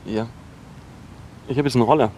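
An actor playing a defensive, awkward young dropout in a naturalistic radio drama, halting and repeating himself as he talks. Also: mid-range voice, mellow, close to an everyday voice. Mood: awkwardly confiding. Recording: noisy location recording with ambient hum.

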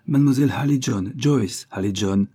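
A man reading an audiobook, voicing a brash, quick-tempered young maid in a raised, vehement voice. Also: dark tone, slightly rough. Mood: imperious. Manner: high-pitched, vehement, indignant. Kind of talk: audiobook character voice.

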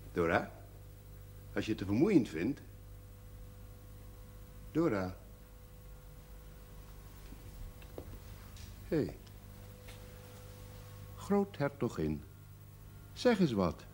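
An actor playing a formal, older husband in a television drama, speaking haltingly. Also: male voice, mid-range voice, husky, exaggerated voice. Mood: growing alarm. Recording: noisy 1970s television soundtrack, clicks.

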